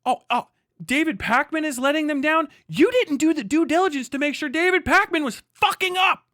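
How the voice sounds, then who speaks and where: A man's voice: very high-pitched, a little fast, bright, forceful tone, no room sound, clean studio sound. A man doing heated live reaction commentary as a stream host, profane, combative and mocking. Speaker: livestream host reacting to a clip.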